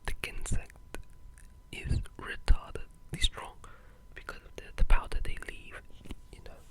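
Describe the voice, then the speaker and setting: The voice is a pure breathy whisper with no tone behind it. The delivery is an informal ASMR ramble, halting and loosely strung together, like a gamer chatting quietly. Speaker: video game ASMR creator.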